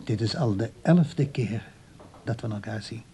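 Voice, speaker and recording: Proud, fussy old gentleman, genial, soft-toned and husky, radio drama. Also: mid-range voice, animated, a little slow. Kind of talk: radio play acting.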